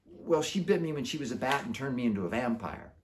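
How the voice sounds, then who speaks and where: Man voicing an earnest, high-pitched curious schoolboy. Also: lively intonation, fast talker, slight background noise, lightly acted. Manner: questioning, hesitant, polite. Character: curious, earnest middle-school boy werewolf. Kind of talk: children's book character voice.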